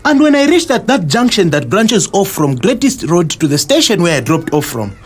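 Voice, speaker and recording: An earnest Zambian man in an educational radio play. His voice is high for a man and swoops with very animated pitch. He explains civic matters to his friends emphatically and with indignation. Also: moderate pace, husky, exaggerated voice. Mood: aggrieved. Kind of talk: scripted educational audio drama.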